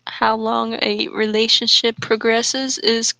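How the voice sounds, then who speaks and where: A woman's voice: a little high, level tone, slow pace, gravelly, bright, forceful tone, dry recording. A woman co-hosting a comedic reading, bantering casually in a playful, giggly manner. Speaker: co-host of a comedic fanfiction reading. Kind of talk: casual banter and mocking read-aloud.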